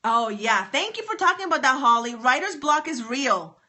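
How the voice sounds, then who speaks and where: Bright-voiced enthusiastic woman writing coach. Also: high-pitched, expressive, normal speed. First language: English. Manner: enthusiastic, repetitive, reads out viewer names. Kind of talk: live stream chatting with viewers.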